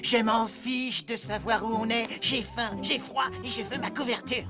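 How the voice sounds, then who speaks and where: Woman as a high-pitched cheeky little furry adventurer. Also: fairly even intonation, normal speed, over music, natural voice. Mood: grumbling, teasing. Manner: boastful, cheeky, exclamatory. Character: small, brash, boastful furry adventurer creature, cheeky and impatient. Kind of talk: animated fairy-tale dub acting.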